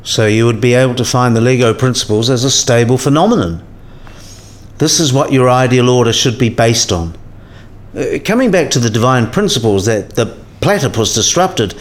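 A man's voice: medium pitch, expressive, normal speed, close to an everyday voice. A man playing a patient, scholarly professor in a radio play, explaining things in a didactic way.

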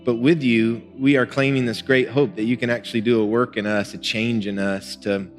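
A man's voice: mid-range voice, fairly even intonation, moderate pace. A male pastor, preaching in a conversational, earnest way with small laughs. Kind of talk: sermon and prayer. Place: church sanctuary. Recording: music and long hall reverb.

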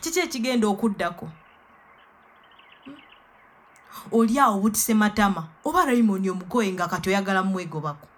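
A woman's voice: mid-range voice, expressive, fast talker, close to an everyday voice. A woman playing an outraged mother in a radio play, scolding her grown daughter with reproachful questions. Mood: scornful. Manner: reproachful, interrogating, swooping exclamations.

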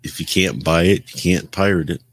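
A man with a deep voice, recorded close and dry, chats as a podcast co-host. He is explanatory and opinionated, laying out his points in an informal discussion. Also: fairly quick, husky, no room sound. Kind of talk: casual tech discussion.

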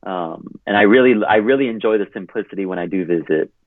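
A man's voice: fairly low pitch, fast talker, no room sound. Rambling man on a tinny line. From California, US. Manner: rambling, heavy use of "like". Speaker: podcast guest, runner. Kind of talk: casual interview chat.

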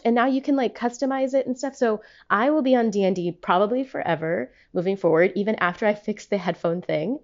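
A woman's voice: mid-range voice, a little mellow, dull audio. A young woman talking casually in a voicemail-style monologue, chatty and emphatic, with slangy, profane phrasing. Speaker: podcast host.

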